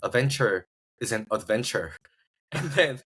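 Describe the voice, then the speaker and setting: A man's voice: medium pitch, animated, unhurried, a bit bright, breathy edge. A man from South Korea with a Korean accent, telling his story casually as a podcast guest, often searching for words. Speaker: podcast guest, startup founder. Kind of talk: casual personal storytelling in an interview.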